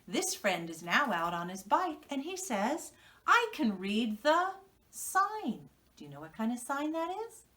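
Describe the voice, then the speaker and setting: Female preschool teacher, American, unhurried and highly animated storytelling for little learners, heard slightly away from the mic. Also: recorded from a distance. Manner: instructional, question-and-prompt delivery for children. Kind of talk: reading lesson and storytelling for young children.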